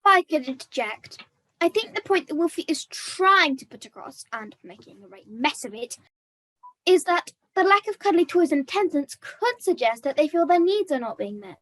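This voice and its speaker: Young woman playing haughty high-voiced princess puppet. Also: big pitch swings, moderate pace, fairly bright tone, poor audio. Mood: condescending. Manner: pompous, formal, articulate. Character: haughty, bossy princess puppet proposing a split. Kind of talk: scripted puppet comedy dialogue.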